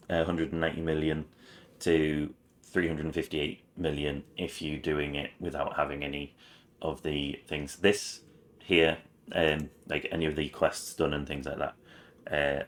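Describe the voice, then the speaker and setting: A man with a raspy voice this morning, talking through a game guide with many ums and restarts, slipping in words such as "whilst". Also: extremely low pitch. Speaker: gaming channel host.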